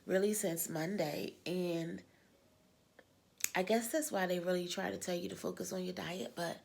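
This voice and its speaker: A middle-aged woman with a husky, gravelly voice that sounds like she is coming down with a cold. She sits lower in pitch and rambles in a casual vlog, repeating words and sighing often. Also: steady tone, moderate pace, a little mellow. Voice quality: husky smoker's-like voice. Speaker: vlogger. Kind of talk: casual personal vlog talk.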